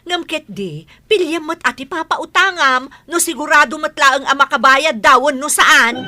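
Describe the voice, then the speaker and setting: A woman with an Ilocano accent playing a stingy, sharp-tongued village wife in a radio comedy drama, scolding and exclaiming. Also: high voice, normal speed, natural voice. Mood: reproachful.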